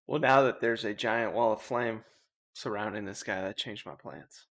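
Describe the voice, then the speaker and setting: A man's voice: lowish voice, normal speed, creaky, a bit bright. A man talking casually as a player in a tabletop roleplaying game.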